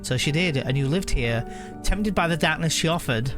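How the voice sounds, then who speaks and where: A man live streaming a video game, chatting casually and reading the on-screen text aloud in a halting way, often correcting himself. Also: fairly high pitch, expressive, music in the background.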